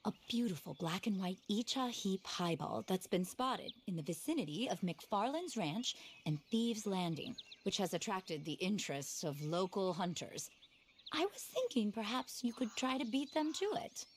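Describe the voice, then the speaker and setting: A woman's voice: mid-range voice, very animated, moderate pace, a little hiss or hum, lightly acted. A woman acting an eccentric, passionate, animal-loving naturalist in a video game, theatrical, earnest and a little scattered. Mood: whimsical, flustered. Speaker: quest-giving wildlife specialist in a western game.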